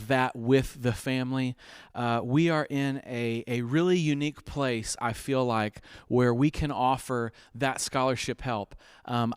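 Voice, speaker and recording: A man with a smooth, resonant voice of middling pitch, hosting a charity telethon. He pleads earnestly and brightly for donations, often restarting his sentences and filling pauses with uhs. The sound is close and dry. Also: no echo. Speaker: fundraiser host. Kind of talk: telethon appeal.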